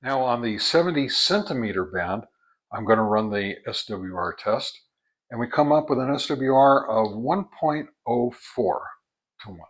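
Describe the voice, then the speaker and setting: A man's voice: mid-range voice, lively intonation, moderate pace. A man giving a casual product review and test demonstration, explaining each step methodically as a hobbyist reviewer. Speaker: ham radio product reviewer.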